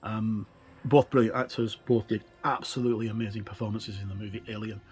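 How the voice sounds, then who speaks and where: Middle-aged man, low-pitched, explaining informally. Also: level tone, a little fast, a little mellow. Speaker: tabletop gaming reviewer. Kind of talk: informal explanatory walkthrough of a rulebook.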